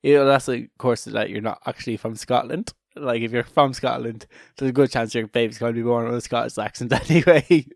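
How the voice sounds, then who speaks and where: A man's voice: medium pitch, a little fast, vocal fry, few pauses, dry recording, studio quality. A man co-hosting a casual comedic podcast, talking in a rambling, irreverent way with many restarted sentences. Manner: joking, irreverent. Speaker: podcast co-host.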